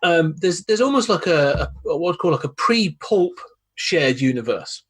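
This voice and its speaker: A man's voice: a little high, sing-song, normal speed, husky. A man who is a guest on a podcast, chatting casually and explaining at length with many restarts. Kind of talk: casual chat.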